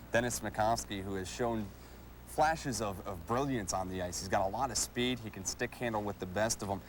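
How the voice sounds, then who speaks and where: A man's voice: on the low side, slight background noise. A man hosting a sports show, interviewing a player in a broadcast style.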